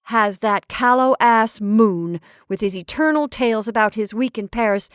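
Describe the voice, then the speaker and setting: A woman's voice: on the high side, normal speed, clean tone, no echo, natural voice. A woman reading as an earnest, pedantic young male scholar who lectures in precise, formal sentences, recorded dry and close.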